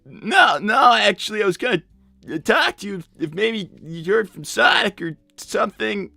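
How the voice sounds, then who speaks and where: Male performer as young cartoon fox, high-pitched, stammering, exasperated, earnest, swooping intonation, improvised live roleplay. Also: lively intonation, moderate pace, gravelly, pushed and bright, no echo, soft background music, heavily acted. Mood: hesitant. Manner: stammering, repeats words, exasperated.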